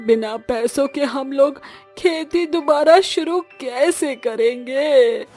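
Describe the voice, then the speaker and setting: A poor, anxious village mother-in-law from a cartoon moral tale, played by a woman. Her high female voice laments plaintively and very slowly, with music behind it. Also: a little mellow. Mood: tearful despair. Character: distraught, fearful village mother-in-law, poor banana farmer.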